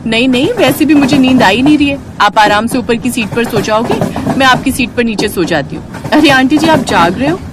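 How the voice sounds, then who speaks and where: A woman's voice: a little high, expressive, fairly quick, very noisy, pitched above the performer's own voice, lightly acted. A woman playing a brave, alert young woman in a story, polite, earnest and chatty. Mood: helpful.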